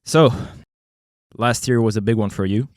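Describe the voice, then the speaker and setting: A young man hosting a podcast in a relaxed, casual chat, laughing often and pausing on fillers. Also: fairly low pitch, no echo, clean studio sound. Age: young adult. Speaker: podcast host. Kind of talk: casual conversation.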